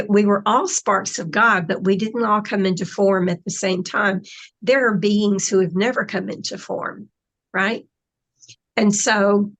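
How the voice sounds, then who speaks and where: Slow, reflective woman talking. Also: medium pitch. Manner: slow, reflective, trailing phrases. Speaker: spiritual channeler. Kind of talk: casual conversation.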